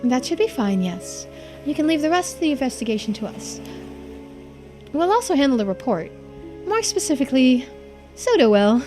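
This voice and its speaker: A woman's voice: sits high, big pitch swings, moderate pace, long pauses, darkish tone, faint music behind, pitched above the performer's own voice. A woman voicing a composed, polite housewife investigator in a video game, speaking formally and matter-of-factly. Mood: businesslike. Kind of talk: video game dialogue read-through.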